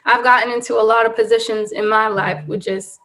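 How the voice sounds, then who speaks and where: A woman's voice: on the high side, steady tone, fairly quick, fairly bright tone, poor audio. Earnest young woman giving business advice. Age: 20s. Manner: earnest, emphatic, repeats key phrases. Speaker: guest business owner. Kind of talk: casual podcast interview.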